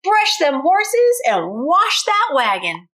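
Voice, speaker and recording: A woman voicing a wicked cowboy stepdaddy in a storybook, shouting orders with a Texas accent. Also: very high-pitched, wildly swooping pitch, slow, voice pushed up, full character voice. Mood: bossy. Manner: shouting, commanding.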